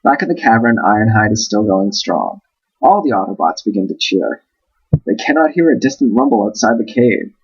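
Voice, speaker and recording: A man's voice: lowish voice, expressive, normal speed, slightly rough, dull audio. A man reading a storybook aloud as host, with wry, playful asides.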